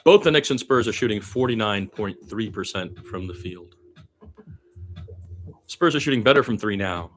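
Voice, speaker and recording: This is a man with a fairly low-pitched voice doing amateur radio-style basketball play-by-play. His delivery is conversational and slangy rather than polished. He sounds like he is thinking out loud as he follows the game. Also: animated, moderate pace, pauses often, faint music behind. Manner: informal, slangy, thinking aloud. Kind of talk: loose, conversational basketball commentary.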